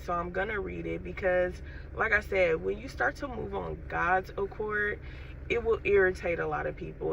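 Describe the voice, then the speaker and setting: A woman with a scratchy throat and a stuffy nose gives an unhurried, casual spiritual message to her viewers, often saying "you know" and "um". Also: fairly low pitch, vocal fry, fairly bright tone. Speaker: faith vlogger. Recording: dull, distant lo-fi recording with faint noise.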